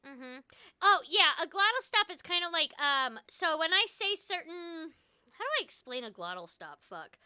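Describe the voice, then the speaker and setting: A woman's voice: very high-pitched, sing-song, normal speed, bright, forceful tone, no room sound, clean studio sound. A woman voice actor hosting a casual stream, chatting loosely with frequent restarts and a noticeable glottal stop, on a headset mic at home.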